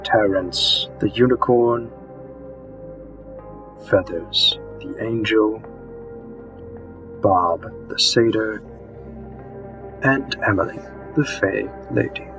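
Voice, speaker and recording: A man playing a courteous monster matchmaking presenter in a scripted audio roleplay, speaking in a measured, formal and deliberate manner. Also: on the low side, over music, low-quality recording. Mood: courteous, hopeful.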